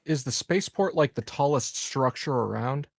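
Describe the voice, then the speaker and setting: Wry man with a creaky voice. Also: medium pitch, expressive, moderate pace, no room sound, clean studio sound. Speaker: tabletop game player. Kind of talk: casual game chat.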